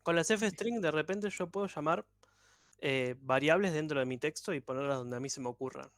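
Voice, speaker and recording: A man's voice: a little high, moderate pace, dry recording, studio quality. A man, teaching code in an online workshop with patient, step-by-step explanations over a video call. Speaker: programming instructor.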